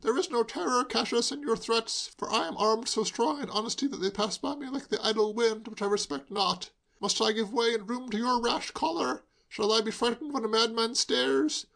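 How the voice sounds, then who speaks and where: Tipsy exuberant young gentleman, voiced by a male narrator, pitched high, booming theatrical delivery. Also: normal speed, vocal fry, few pauses, heavily acted. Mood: jubilant, theatrical. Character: drunk, jubilant young man quoting Shakespeare.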